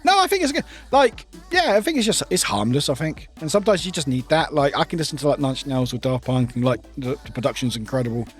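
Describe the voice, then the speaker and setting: A streamer talking loosely to chat, wandering between thoughts and laughing along the way. The voice rises and falls a great deal and sounds a little croaky. Faint music runs underneath. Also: male voice, on the high side, big pitch swings, fast talker, hardly any pauses. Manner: rambling, chatty, laughs often. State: slightly croaky voice. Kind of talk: casual livestream chat.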